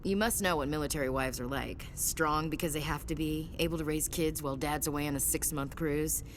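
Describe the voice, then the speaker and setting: Game role: down-to-earth female soldier, woman acting, notably low pitch, frank informal talk, faint rumble behind. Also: brisk, lightly acted. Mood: fond, open. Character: tough, candid female soldier, protective big sister. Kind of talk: naturalistic video game dialogue acting.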